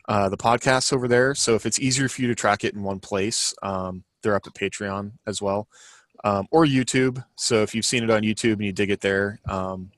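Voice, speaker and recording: A man's voice: fairly low pitch, fairly bright tone, dry recording, clean studio sound. A man co-hosting a podcast, running through announcements in a casual, chatty way. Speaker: podcast co-host.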